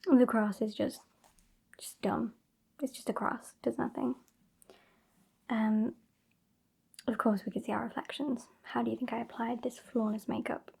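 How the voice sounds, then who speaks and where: A British woman playing a sassy, bossy centuries-old female vampire in an ASMR roleplay, soft and whispery, with a haughty, teasing, dry delivery. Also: fairly high pitch, flat delivery, measured pace, vocal fry, pauses often, husky, heavily acted. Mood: dry, dismissive.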